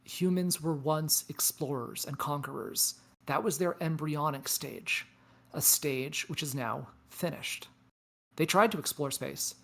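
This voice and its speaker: A performer in a table read playing a weary, knowing space probe, speaking in a measured, deliberate, philosophical way. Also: mid-range voice, creaky, fairly bright tone. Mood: matter-of-fact. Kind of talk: table read of science fiction script. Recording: music behind the voice. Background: music.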